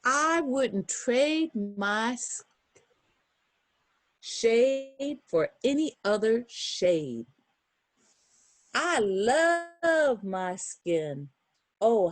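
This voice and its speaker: A woman reads a children's picture book aloud in a lively, swooping voice. She takes her time and breaks off for encouraging asides and questions to young listeners. The sound is close and dry. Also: medium pitch, dry recording. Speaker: children's storybook reader.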